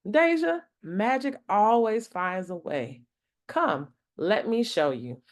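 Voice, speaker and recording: A woman voicing a gentle mother in a picture book, patiently explaining and soothing. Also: medium pitch, big pitch swings, takes it slowly. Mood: reassuring. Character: gentle, reassuring mother explaining magic to her daughter.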